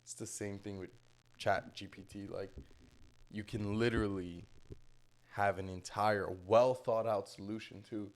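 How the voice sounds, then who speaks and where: A man co-hosting a casual podcast speaks in a somewhat low voice. He talks animatedly and thinks out loud, working through ideas as he goes. His laugh comes easily. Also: fairly even intonation, measured pace. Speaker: podcast co-host.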